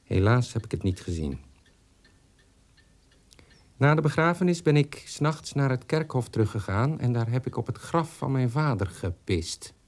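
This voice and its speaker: An actor playing a bitter, morbidly hateful son in a radio drama, speaking with grim emphasis. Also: male voice, mid-range voice, big pitch swings, moderate pace, darkish tone, lightly acted. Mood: savage bitterness.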